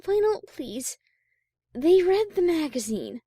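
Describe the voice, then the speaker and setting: Actress as high-class cartoon mare, female, prim, formal, dramatic, swooping intonation, unhurried. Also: very animated, dark tone, no room sound, studio quality, heavily acted. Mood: flustered. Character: Octavia, prim high-class female pony musician, easily chagrined. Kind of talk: audio drama character acting.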